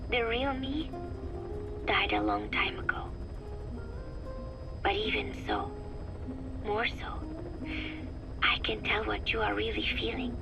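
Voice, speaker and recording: A woman's voice: mid-range voice, fairly even intonation, slow, creaky, long pauses, rough recording. An actress playing a wistful young woman, a phantom recalling her diary in careful, formal phrasing for a dramatic video game monologue. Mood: tender, resigned. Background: music under the voice.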